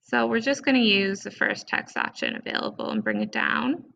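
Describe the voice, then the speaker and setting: A woman presenting a casual step-by-step software tutorial in an informal, conversational way, recorded with dull, lo-fi sound. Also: a little high, moderate pace, creaky.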